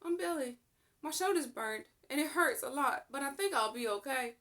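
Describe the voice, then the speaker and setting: A woman's voice: high-pitched, expressive, moderate pace, sharp tone, voice pushed up. A woman voicing a small injured boy who is brave despite his pain, in a storybook reading. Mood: pained but brave.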